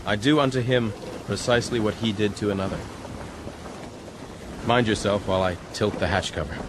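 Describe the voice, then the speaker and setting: An actor playing an earnest, steadfast gentleman sailor, tender and protective, speaking in gently formal phrases in a radio drama. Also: on the low side, fairly even intonation, normal speed, very noisy, close to an everyday voice. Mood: solemn. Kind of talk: broadcast radio drama acting.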